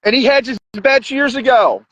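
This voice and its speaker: A man's voice: extremely high pitch, moderate pace, fairly bright tone. A man talking in a blunt, crude, rambling monologue as a podcast host. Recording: dull lo-fi sound.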